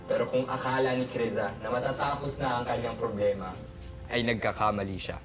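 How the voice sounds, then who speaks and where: A man's voice: mid-range voice, steady tone, a little fast. A young man reading scripted narration for a radio drama. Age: teenager or young adult. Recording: music playing behind the voice.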